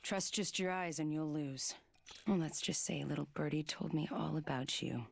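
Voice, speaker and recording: A woman voicing a cool, stealthy, menacing fighter in a video game, in measured, taunting lines. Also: low voice, fairly even intonation, creaky.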